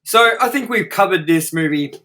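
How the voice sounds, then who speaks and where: A man's voice: high voice, animated, normal speed, bright, forceful tone. A man chatting casually as co-host of a film review show, blunt and sweary, repeating words for emphasis.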